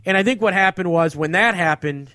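Higher-voiced American man, rambling casually. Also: a little fast, bright, forceful tone, no echo, studio quality. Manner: informal, profane, rambling. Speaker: podcast host and touring comedian. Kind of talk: casual chat, telling stories.

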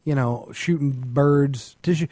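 A man's voice: mid-range voice, a little slow, dark tone, dry recording, clean studio sound. A man co-hosting a podcast in casual comedy chat, ranting with crude, sarcastic scorn. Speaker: podcast co-host.

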